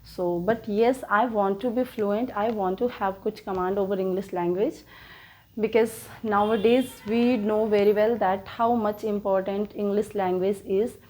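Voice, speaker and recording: A woman from India, native in Hindi, with an Indian accent, hosting her own English-learning channel and talking slowly in learner's English, full of fillers and restarts. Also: mid-range voice, steady tone, warm tone, poor audio. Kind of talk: casual motivational talk to viewers.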